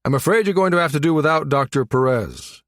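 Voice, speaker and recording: A man's voice: mid-range voice, brisk, no echo, clean studio sound, close to an everyday voice. A man voicing a composed male colonel, polite yet firm, in a science fiction audiobook. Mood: smooth, firm. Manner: smooth, polite but authoritative.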